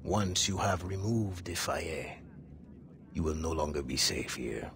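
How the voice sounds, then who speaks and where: An actor playing a somber, world-weary rebel ally in a video game, giving grave, formal counsel. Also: male voice, deep voice, measured pace, vocal fry, a bit bright, slight background noise, full character voice. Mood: mournful. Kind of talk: cinematic video game acting.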